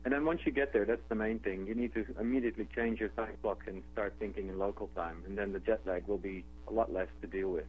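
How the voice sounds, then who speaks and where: A man from the Netherlands with a Dutch accent, speaking as a guest in a radio interview in a relaxed, conversational way with fillers and restarts. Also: fairly low pitch, quick pace, few pauses, slightly rough. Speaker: guest, travel company executive. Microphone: telephone. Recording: tinny phone line with faint hum.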